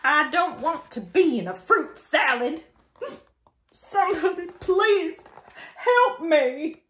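A woman's voice: very high voice, animated, a bit bright, a little hiss or hum, voice pushed up, exaggerated voice. Melodramatic young crocodile, as a woman acts it out. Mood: panicked. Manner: melodramatic, exclaiming, drawn-out words. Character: dramatic young crocodile, watermelon-mad and easily panicked. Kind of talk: children's storybook read-aloud.

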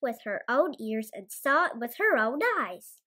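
A woman's voice: fairly high pitch, animated, a little slow, bright, forceful tone, full character voice. A female reader voicing an alarmed, talkative storybook goose who exclaims earnestly.

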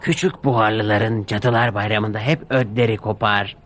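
A man voicing a mischievous male diesel engine who sneers and teases in drawn-out, mocking phrases. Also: on the low side, fairly even intonation, a bit bright, a little hiss or hum, natural voice.

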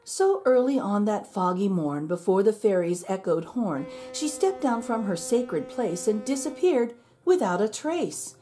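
A woman reading a rhyming children's picture book aloud in an expressive, measured storytelling manner. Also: medium pitch, soft background music. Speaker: storybook reader.

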